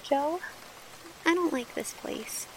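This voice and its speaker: A woman's voice: high voice, lively intonation. A woman playing a timid, frightened teenage girl in the style of 1940s radio drama, speaking in a hesitant way. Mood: uneasy. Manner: hesitant, halting, fearful. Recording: noisy recording with rain.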